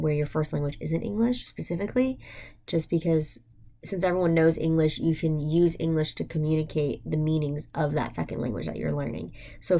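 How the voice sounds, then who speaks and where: Young woman, American accent, low voice, heavy vocal fry, casual student monologue, hesitant, filler-heavy. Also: mellow. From United States. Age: young adult. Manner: hesitant, thinking aloud, many "like" fillers. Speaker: university student. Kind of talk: informal reflective monologue for a class.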